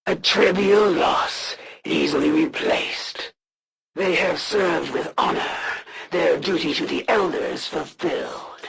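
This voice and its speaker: A proud female alien warrior, a video game villain with cold disdain. Her voice is heavily creaky, and she delivers formal, condescending lines slowly, with wide swings in pitch. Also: fairly bright tone, slight background noise, full character voice. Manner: formal, measured, haughty, condescending. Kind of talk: scripted game character voice lines.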